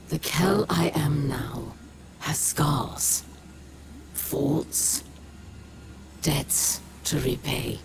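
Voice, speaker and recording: A woman's voice: deep voice, heavy background noise. A woman voicing a proud, weary, bitter alien exile leader in a video game, speaking solemnly and formally. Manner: solemn, deliberate, formal speech. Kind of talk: video game character monologue. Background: music.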